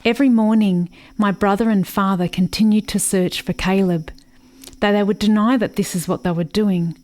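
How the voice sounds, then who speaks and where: An actress in a radio play playing a grieving, watchful country sister, narrating in a reflective, measured way. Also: female voice, medium pitch, steady tone, darkish tone, slight background noise, lightly acted. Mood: wistful.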